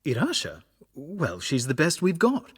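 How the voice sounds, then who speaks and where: Fantasy game relic hunter, man, husky, earnest, theatrical, widely varied pitch. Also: a little slow, full character voice. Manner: dramatic, expressive. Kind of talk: fantasy video game voice acting.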